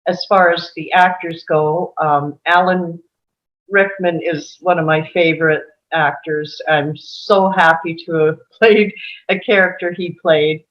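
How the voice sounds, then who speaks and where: A woman with a fairly low voice who speaks very slowly, dropping soft laughs between her phrases. Her sound is dull and lo-fi, as if it comes through a cheap mic. She is on a video call. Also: steady tone. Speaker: table read participant. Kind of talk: casual panel discussion. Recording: muffled lo-fi mic.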